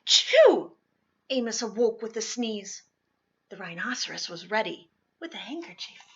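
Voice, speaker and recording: A woman reading a children's storybook aloud expressively, with dramatic, drawn-out emphasis on words. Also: mid-range voice, slightly rough, lo-fi sound. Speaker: storybook reader.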